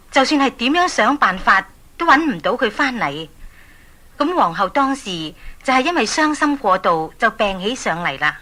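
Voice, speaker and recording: A woman's voice: on the high side, sharp tone. A woman with a Hong Kong accent narrating a scripted story for children, addressing them directly. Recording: 1980s story cassette.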